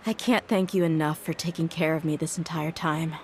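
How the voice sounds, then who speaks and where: A woman's voice: low-pitched, fairly even intonation, normal speed, a little hiss or hum. A woman playing a tough young female desert mercenary in a video game, speaking frankly and reflectively. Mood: resolved, grateful. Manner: frank, reflective, weighty delivery. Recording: music playing behind the voice.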